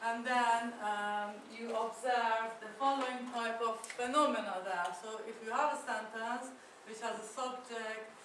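A woman's voice: on the high side, steady tone, measured pace, a bit bright, far from the mic, slight background noise. Chatty woman lecturing in an echoey room. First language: Persian. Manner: chatty, self-deprecating, with many fillers and restarts. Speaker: academic speaker. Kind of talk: conference talk. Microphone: far camera.

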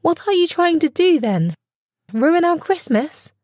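Man as high-pitched, indignant wife. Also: very animated, unhurried, a little mellow, no room sound, studio quality, full character voice. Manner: scolding, emphatic. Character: indignant, loving, sharp-tongued working-class wife and mother.

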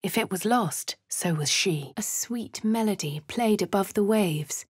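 An actress voicing a posh female adventurer with an English accent, speaking in a breathy, often half-whispered way. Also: mid-range voice, a little slow, no echo. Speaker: voice actor for a player character. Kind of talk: video game voice lines. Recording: dry studio booth.

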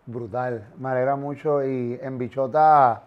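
A man's voice: medium pitch, fairly even intonation, dull audio. A man with a Puerto Rican accent hosting a casual interview, joking and swearing freely. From Puerto Rico. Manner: crude, joking, fast interjections. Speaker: host. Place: pink-lit studio set, indoors, on a couch.

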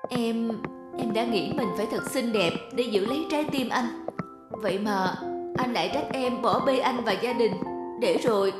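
Cartoon role: vain young wife and mother played by a woman, breezy, bristles easily, music clearly behind. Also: a little high, normal speed, lightly acted. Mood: hurt, regretful. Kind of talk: animated cartoon dialogue.

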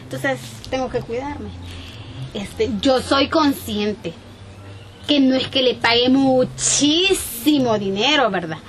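A woman's voice: sits high, slow, crowd noise. A young Salvadoran woman playing a worried, indignant farm owner in an amateur sketch, emphatic and repeating herself as she explains. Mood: defensive, explaining. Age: young adult. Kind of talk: amateur improvised sketch acting. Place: outdoors in a rural yard. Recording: outdoor camera sound, faint ambient noise.

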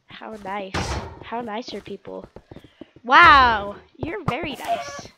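Young woman, stuffy from being sick, casual game commentary, slow-talking, highish voice. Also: heavy background noise. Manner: excitable, cheers and groans at the game. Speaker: gaming video creator.

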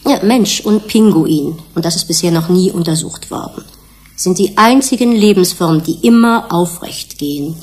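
An earnest female scientist in a German radio play. Her voice is slightly low and husky. She explains in a measured, didactic manner, setting out each point precisely. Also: darkish tone. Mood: earnest, explanatory. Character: earnest female scientist lecturing colleagues on an evolutionary theory. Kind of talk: German radio drama acting.

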